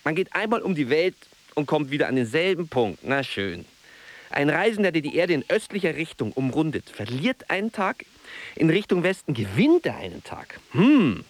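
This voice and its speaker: A brooding, solitary writer delivers a radio drama monologue. His voice sits a little high for a man and moves animatedly up and down. He muses and mutters with self-mocking wryness. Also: big pitch swings, moderate pace. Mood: wry, musing.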